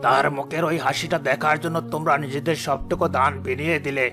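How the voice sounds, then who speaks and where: Man voicing a gravelly, remorseful rich man. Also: a little high, steady tone, quick pace, over music, close to an everyday voice. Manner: solemn, emphatic, confessional. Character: wealthy proud man, now humbled and remorseful. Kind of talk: cartoon moral drama dialogue.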